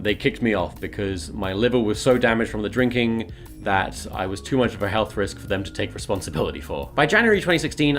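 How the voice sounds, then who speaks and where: A man of average pitch narrates a personal, confessional vlog. He comes across as self-deprecating, and music plays underneath his voice. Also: fairly bright tone. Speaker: video creator documenting his weight loss.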